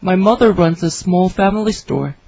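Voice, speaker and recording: A woman reading her part in a scripted English conversation for learners, with clear, careful delivery. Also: fairly low pitch, normal speed, warm tone. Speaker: dialogue reader for English learners. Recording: dry, slightly dull studio recording.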